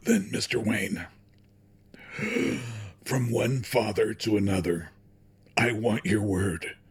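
Male actor for dying elderly patriarch in audio drama. Low, badly husky voice, grave and dignified delivery. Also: exaggerated voice. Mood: solemn resolve. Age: older. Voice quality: husky, breathy, with a cough. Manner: grave, formal, deliberate speech. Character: dying old company chairman and father, solemn, remorseful.

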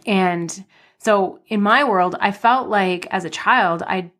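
A woman's voice: mid-range voice, takes it slowly, a bit bright. A woman in her thirties hosting her podcast, telling her life story in a chatty, rambling way, slightly nasal from a cold. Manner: chatty, rambling with many fillers and restarts. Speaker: podcast host. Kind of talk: casual personal storytelling.

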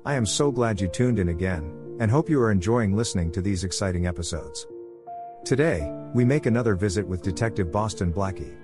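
Low-voiced man introducing over music. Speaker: podcast host. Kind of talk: scripted introduction narration.

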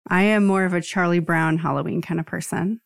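Lower-pitched woman bantering, very dry sound. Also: fairly even intonation, fairly quick, vocal fry, dry recording. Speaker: podcast co-host. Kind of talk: casual chat.

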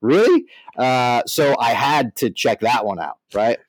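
A man's voice: mid-range voice, very animated, unhurried, no room sound. A man hosting a podcast in casual, informal, slangy talk. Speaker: podcast host.

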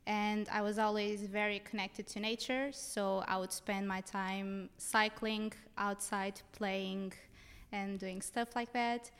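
A woman's voice: mid-range voice, level tone, slow, a bit bright, smooth. A young woman from Portugal with a Portuguese accent, telling her story casually as a podcast guest. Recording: reverberant room with long echo.

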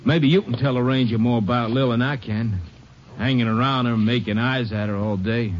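A blustery, overworked diner owner, played by a man who grumbles about everything. The line is dull and lo-fi, with clear hiss from the old radio recording. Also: mid-range voice, fairly even intonation, moderate pace, natural voice. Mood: grumbling. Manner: complaining, blustery. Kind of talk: 1950s radio acting.

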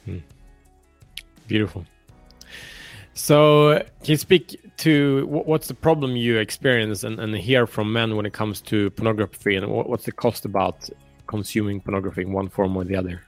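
A man's voice: on the low side, fairly even intonation, normal speed, dry recording, soft background music. A man hosting a motivational interview show, speaking earnestly and repeating words for emphasis. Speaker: podcast host interviewing a guest.

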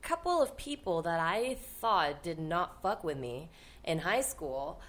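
A woman's voice: lowish voice, measured pace. A young adult woman from Hong Kong, a guest artist chatting animatedly on a podcast. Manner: animated storyteller who laughs and acts out quoted people. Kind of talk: casual podcast interview.

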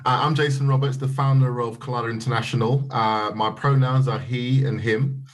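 A man's voice: medium pitch, fairly even intonation, normal speed. A middle-aged man with a British accent, a company founder, speaking genially and businesslike on a video call.

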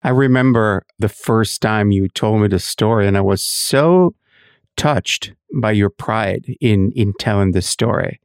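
A man hosting an interview, with a gravelly voice, introducing his guest and asking slow, deliberate questions. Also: lowish voice, a little mellow, no echo, clean studio sound. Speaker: interview host.

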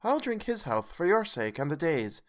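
A sharp-tongued, indignant mother, put on by a male narrator with a raised, biting voice in a storybook reading. She is scornful and fast with her words. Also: fairly high pitch, big pitch swings, voice pushed up. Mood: sarcastic.

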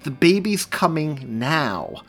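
A man's voice: mid-range voice, animated, normal speed, fairly bright tone, faint music behind, lightly acted. A man voicing an anxious, flustered young man in an audiobook, hesitant and easily exasperated.

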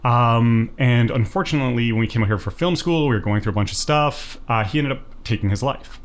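Canadian guy in his 30s, thoughtful and earnest, lots of ums, mid pitch. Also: brisk. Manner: reflective, earnest, many fillers. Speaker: documentary filmmaker guest. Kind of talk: casual podcast interview.

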